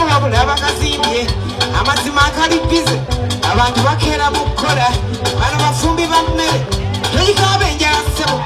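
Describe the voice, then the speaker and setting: A woman singing a Ugandan kadongokamu story song in a narrative, complaining way. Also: high voice. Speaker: singer. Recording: loud music backing throughout. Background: percussive Latin-flavoured band music.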